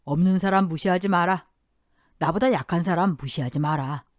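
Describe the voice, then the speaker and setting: A woman's voice: lowish voice, moderate pace, no room sound, studio quality, natural voice. A woman voicing a blunt but caring older father-in-law in a radio story drama. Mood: earnest. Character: gruff but warm-hearted older father-in-law, a restaurant owner.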